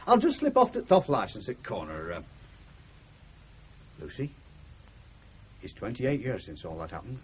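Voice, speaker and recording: An actor playing a blunt, sentimental middle-aged working-class husband with a Northern English accent in a radio comedy drama. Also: male voice, lowish voice, huge pitch swings, normal speed, pauses often, darkish tone. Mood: awkward. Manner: blunt, emphatic, plain-spoken. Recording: muffled 1970s radio drama recording, noisy.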